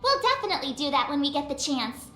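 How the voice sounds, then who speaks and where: A woman's voice: high-pitched, very animated, quick pace, pushed and bright, full character voice. A woman playing a brash, playful young tour guide in a video game, with a theatrical, teasing manner. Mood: amazed. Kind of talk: animated video game character dialogue. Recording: roomy sound over background music.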